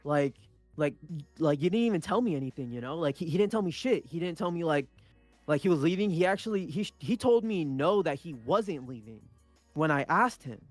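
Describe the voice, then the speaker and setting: Young male podcast co-host, Punjabi first language, loose and sweary storyteller, slightly higher voice, dry close mic. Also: animated, a little fast, no echo, faint music behind. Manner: rambling, many restarts and swearing. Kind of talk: casual storytelling chat.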